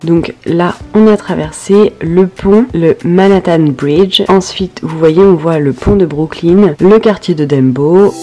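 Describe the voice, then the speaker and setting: A woman's voice: on the low side, unhurried, hardly any pauses, dark tone, faint music behind. A woman narrating her travel vlog, chatty and enthusiastic, giving tips to her viewers. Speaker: travel vlogger. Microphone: basic built-in mic.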